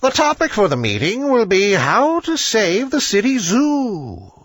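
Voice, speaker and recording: Man putting on a high, authoritative old storybook owl. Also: wildly swooping pitch, unhurried, fairly bright tone, no echo, full character voice. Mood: commanding. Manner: authoritative, emphatic hooting delivery. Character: wise, impatient old male owl chairing animals. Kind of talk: children's storybook character reading.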